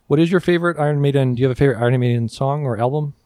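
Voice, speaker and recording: A man's voice: medium pitch, rapid-fire, mellow, smooth, no room sound. A man with a mid-range voice, recorded dry. He hosts a casual chat, bantering jokily and tossing questions around in an informal way. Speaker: podcast host.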